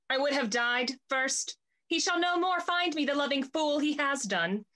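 A woman's voice: high voice, lively intonation, unhurried, pushed and bright, heavily acted. A woman playing a proud, jealous society lover bent on revenge, haughty and theatrical, in a period comedy. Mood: indignant. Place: indoor room, video call.

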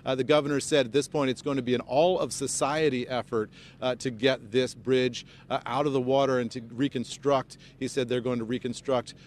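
American guy in his 50s doing a live news report outside, mid pitch, bit of rumble behind him. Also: fairly even intonation, brisk. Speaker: field news correspondent. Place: outdoors by a highway among press crews. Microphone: handheld microphone held close. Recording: outdoor live report, rumbling background noise. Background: low rumbling outdoor noise.

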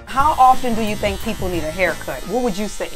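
A woman's voice: mid-range voice, lively intonation, brisk, faint music behind, rough recording. Upbeat woman hosting an interview. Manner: upbeat, brief prompts. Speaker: host and interviewer. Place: small barbershop indoors. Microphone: lapel mic.

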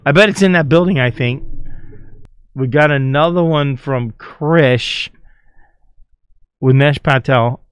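A man's voice: mid-range voice, takes it slowly, pauses often, clean tone, no room sound. A man hosting a casual reaction video, commenting on game clips and playfully reading captions aloud. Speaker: YouTube host.

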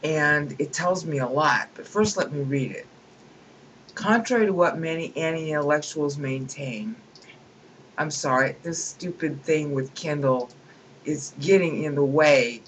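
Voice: mid-range voice, unhurried, fairly bright tone, slight background noise, poor audio. A person at a home computer reading a book aloud and breaking off into opinionated, digressive commentary with chuckling asides.

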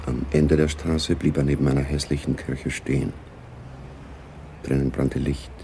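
A man narrating a spy radio drama gravely, with a deep, gravelly voice. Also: flat delivery, a little slow, dark tone, slight background noise, exaggerated voice.

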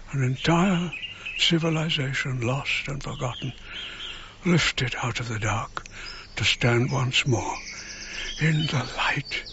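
An actor playing an elderly, wistful archaeologist in a radio drama monologue, speaking in a measured, halting, brooding way. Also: male voice, mid-range voice, background noise, lightly acted. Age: older. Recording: dull radio drama recording, music behind.

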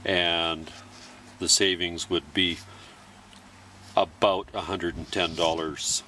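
A man giving a plain, methodical how-to voice-over about refilling camping fuel canisters, pausing between phrases. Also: deep voice, little pitch movement, sharp tone, a little hiss or hum. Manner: plain, methodical explaining with pauses. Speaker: gear demonstrator. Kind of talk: instructional voice-over.

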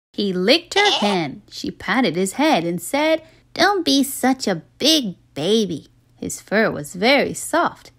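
A woman reading a children's story aloud in an expressive way with dramatic pauses. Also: fairly high pitch. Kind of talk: animated read-aloud narration.

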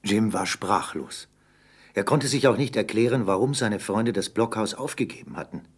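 A man's voice: on the low side, moderate pace, husky. A man narrating an adventure radio play in a scripted storytelling style.

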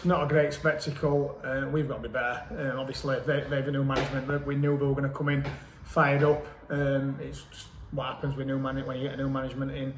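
A man, a football manager giving a measured, matter-of-fact post-match interview with frequent hesitant ums. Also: mid-range voice, flat delivery. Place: small indoor club office. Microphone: camera mic at close range. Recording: echoey room, faint background noise.

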